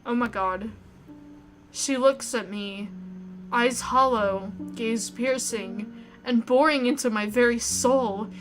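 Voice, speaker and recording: A woman narrating a horror visual novel in the first person, reading with expressive, suspenseful delivery. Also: fairly high pitch, takes it slowly, faint music behind.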